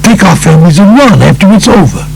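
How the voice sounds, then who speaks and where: A male narrator puts on a nervous mobster brother-in-law who whines. The audiobook voice rides well above the reader's usual pitch and slides around a lot, with music underneath. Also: very animated, quick pace, creaky, darkish tone, a little hiss or hum, full character voice, rough recording. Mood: whining, pleading. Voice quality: whining.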